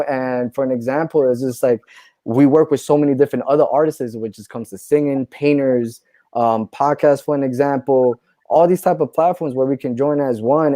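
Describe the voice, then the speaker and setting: A man's voice: medium pitch, no room sound. Easygoing man telling a rambling story. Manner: relaxed, rambling storyteller, says "you know" and "right?" a lot. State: a little tipsy from wine. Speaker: clothing brand founder, guest. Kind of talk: casual conversation.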